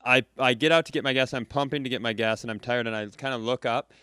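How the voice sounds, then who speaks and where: A man's voice: mid-range voice, fairly even intonation, fast, bright, forceful tone, smooth, no room sound. A man co-hosting a casual comedy podcast, telling a long, dry, rambling story full of self-corrections, recorded live at a comedy club. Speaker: podcast co-host.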